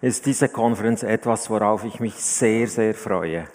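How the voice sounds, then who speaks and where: A man interpreting a preacher's sermon live, line by line, in quick, fluent phrases. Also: fairly low pitch, darkish tone. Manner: quick, fluent rendering close on the speaker. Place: church hall. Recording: reverberant hall.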